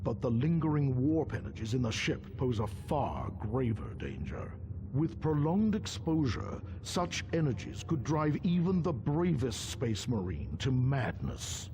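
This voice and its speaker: A man's voice: mid-range voice, very animated, measured pace, noisy background. A man playing a pious, ominous space marine advisor in a video game, speaking formally and portentously. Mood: ominous warning. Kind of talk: video game cutscene acting.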